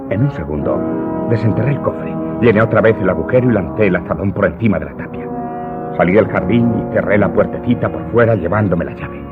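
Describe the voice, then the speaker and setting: A deep-voiced, vengeful Corsican manservant confesses to his master in a radio drama. He recounts his tale slowly and gravely, with emphatic flares of passion. The sound is muffled and lo-fi, with prominent music beneath his words. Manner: deliberate, dramatic storytelling with emphatic outbursts. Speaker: voice actor in an audio drama. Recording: dull, muffled audio with loud music underneath.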